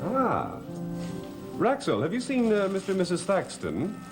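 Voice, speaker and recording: Suave, swooping gentleman of the manor. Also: sits high, huge pitch swings, measured pace, over music, heavily acted. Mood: jovial. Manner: theatrical, teasing. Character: suave, playful master of the house, flirtatious. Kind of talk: 1970s gothic soap opera acting.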